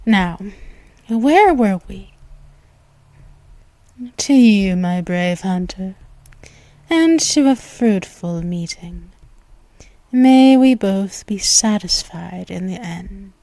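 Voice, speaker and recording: A woman voicing a seductive, dominant female vampire in an ASMR roleplay, speaking in a slow, purring, whispery, teasing way. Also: mid-range voice, lively intonation, dark tone, no room sound. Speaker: audio roleplay performer.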